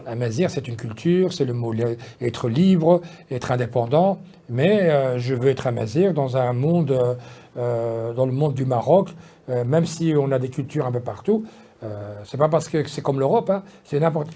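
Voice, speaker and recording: A man's voice: medium pitch, moderate pace, mellow. A man, talking casually in an interview, rambling with many fillers and restarts. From Belgium. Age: 50s. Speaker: politician guest.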